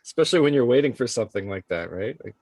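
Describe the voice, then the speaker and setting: A man's voice: medium pitch, lively intonation, rapid-fire, a little mellow, no echo. A man explaining things to parents in a casual, loosely phrased way as a program facilitator. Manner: informal, hedging with frequent "like". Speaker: early childhood program facilitator.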